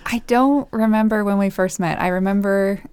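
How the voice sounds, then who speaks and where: A young woman who grew up in Costa Rica, talking as a guest in a casual interview, soft-spoken and thoughtful with frequent pauses. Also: mid-range voice, smooth, no room sound. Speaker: podcast guest.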